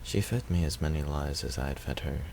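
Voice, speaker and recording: A man's voice: extremely low pitch, a little fast, gravelly, darkish tone, slight background noise. A man reading first-person visual novel narration aloud.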